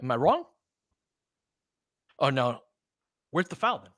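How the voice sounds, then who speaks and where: Man, sports host, casual play-by-play, very animated pitch, slangy, profane, dry close sound. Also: slow, dry recording. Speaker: sports podcast host calling a game. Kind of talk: casual fan play-by-play commentary.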